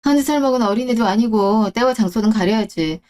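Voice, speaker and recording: A woman's voice: a little high, fairly quick, a little mellow, close to an everyday voice. A woman acting out a young woman co-CEO who interviews job applicants, speaking in a cool, composed, pointed way in a story radio drama.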